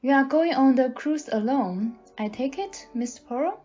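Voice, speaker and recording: A woman's voice: high voice, lively intonation, normal speed. A woman playing a sociable, polite society lady in an audio play, with a genteel, friendly manner. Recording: muffled audio, loud music behind.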